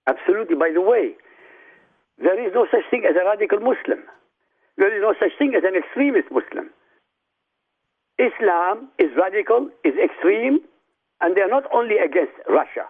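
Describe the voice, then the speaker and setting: An Iraqi-born man whose first language is Arabic, speaking as a guest on a radio talk show in a forceful, declamatory way that addresses the listeners directly. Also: sits high, expressive, normal speed, pauses often, breathy edge. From Iraq. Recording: tinny phone line.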